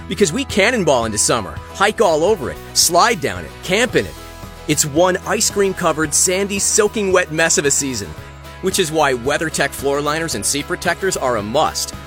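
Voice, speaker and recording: Energetic man with a lively voice. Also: normal speed, soft background music. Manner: energetic, patriotic pitch. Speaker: commercial announcer. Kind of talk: upbeat car-accessory ad read.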